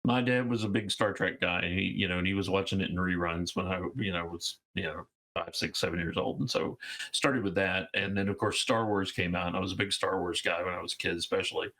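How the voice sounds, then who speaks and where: A man's voice: deep voice, fairly even intonation, a little fast, sharp tone, rough recording. A man of fifty from Georgia, chatting casually as an interview guest, rambling with fillers and restarts. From Georgia, USA. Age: 50s. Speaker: guest game designer.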